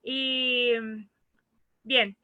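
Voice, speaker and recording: A Brazilian woman whose first language is Portuguese, giving a seminar lecture in halting Spanish with Portuguese mixed in and frequent restarts. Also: high voice, little pitch movement, pushed and bright, clear, resonant tone, no room sound, lo-fi sound. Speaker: seminar speaker.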